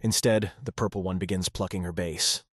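A man's voice: low voice, fairly even intonation, moderate pace, slightly rough, dry recording, studio quality. A man reading scripted first-person narration in a wry, exasperated manner, as narrator of a voiced visual novel. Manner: wry, exasperated, sweary teenage protagonist.

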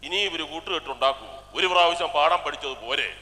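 A man's voice: on the high side, fast, pushed and bright. A man playing a sardonic, scheming politician in a stage comedy, colloquial and mocking. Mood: indignant. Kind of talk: stage comedy skit acting. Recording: echoey stage hall, noisy ambience.

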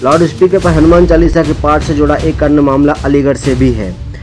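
A man's voice: on the high side, steady tone, a little fast. A man reading a scripted news voice-over as narrator. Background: background music.

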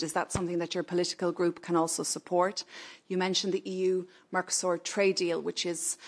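Low-voiced woman journalist asking a question. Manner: clear, measured, listing points. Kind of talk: press conference question. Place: seated in a large parliament chamber. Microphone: desk microphone.

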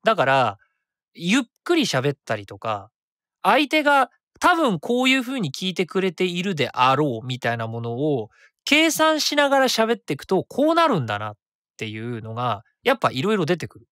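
Man, around 40, web radio host, rapid chat, very lively pitch, dry close mic. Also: no room sound. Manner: rapid, chatty, easy to follow. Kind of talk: casual solo radio talk.

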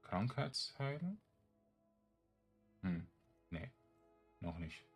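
Man, deep voice, casual let's player, halting and rambling, faint game music. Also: very animated, breathy edge. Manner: halting, rambling, thinking aloud. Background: video game music.

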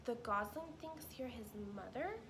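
A woman voicing a shy young beaver boy who speaks quietly. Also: on the high side, animated, a little slow, noisy background. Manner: quiet, soft-spoken.

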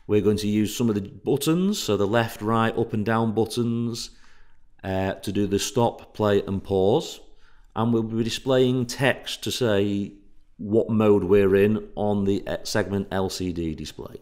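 A man's voice: lowish voice, a little slow, a little mellow. A man giving a technical workshop presentation as an instructor, explaining step by step in an informal way.

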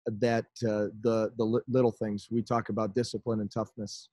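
Chicago-area American head coach, man in his forties, low monotone, halting, dry webcam sound. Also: dry recording. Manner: halting, many restarts and fillers. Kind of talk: postgame press conference. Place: indoors in front of a team backdrop. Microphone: video call webcam.

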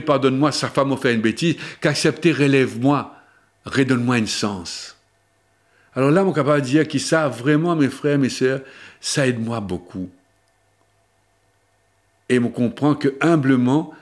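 An older man preaching a Lenten sermon in with a lilt. He talks to camera at a table microphone in a small studio, slowing down to stress key words and moving often between higher and lower pitches. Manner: shifts between spoken pitches, slowing for emphasis. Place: small studio before a blue backdrop, seated at a table. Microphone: table microphone on a stand.

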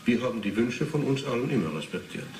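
A man's voice: mid-range voice, lively intonation, fast talker, a little mellow, natural voice. An actor playing a composed male witness giving matter-of-fact testimony in a radio drama. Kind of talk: 1980s German radio drama acting. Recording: roomy, noisy interrogation tape.

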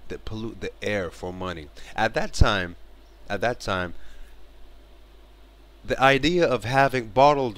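Man, 30s, from Morocco; earnest home-vlog delivery on a headset, fairly low pitch, halting restarts. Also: fairly bright tone. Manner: earnest, repeats and restarts phrases, gestures while talking. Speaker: vlogger. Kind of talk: casual spoken commentary to camera. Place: bedroom, small indoor room. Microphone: headset mic.

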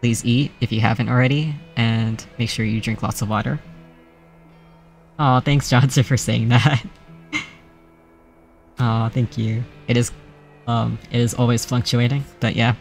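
A man streaming a video game, signing off with soft-spoken casual chat, laughing often and talking in a flat, even way. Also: mid-range voice, measured pace, gravelly, long pauses. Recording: faint game music behind.

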